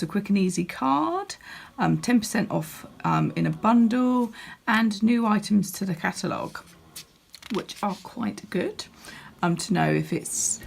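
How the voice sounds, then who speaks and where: A woman with a croaky voice, chatting casually as she walks through a crafting catalogue, with lots of ums and restarts. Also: fairly low pitch. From United Kingdom. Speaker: crafting demonstrator. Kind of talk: casual product walkthrough.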